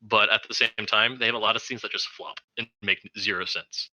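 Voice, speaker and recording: A young man around twenty chats about films on a podcast. His voice is fairly low but very bright and forceful, and the sound is dry and close. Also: fairly even intonation, a little fast, dry recording, low-quality recording. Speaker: podcast co-host. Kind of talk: casual film discussion.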